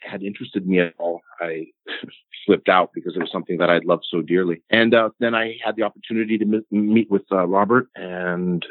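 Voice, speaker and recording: A man's voice: low-pitched, steady tone, fairly quick, dry recording. A man in his forties from Los Angeles, a film director answering interview questions in an earnest storytelling way, often restarting his sentences. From Los Angeles, United States. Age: 40s. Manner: earnest storytelling, frequent repeated starts. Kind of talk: interview answers over a remote line. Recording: tinny phone-like line, dry.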